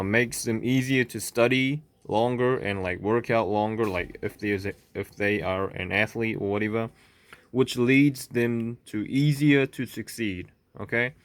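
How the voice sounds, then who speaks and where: A man from South Korea speaking English with a Korean accent, talking to camera in a halting, stuttering way with many fillers and "okay?" checks. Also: fairly low pitch, no echo. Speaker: vlogger. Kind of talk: unscripted monologue to camera.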